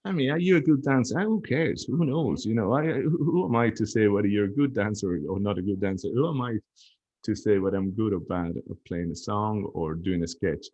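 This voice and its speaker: A man's voice: lowish voice, brisk, a little mellow, highs cut off. A man chatting as a podcast guest, telling stories in a reflective, easygoing way. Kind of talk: casual chat.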